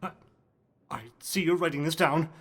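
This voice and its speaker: An actor playing an educated gentleman, a scientist's wary loyal friend, speaking formally and expressively in a radio drama. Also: fairly high pitch, steady tone, normal speed. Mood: troubled. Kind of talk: theatrical radio drama acting.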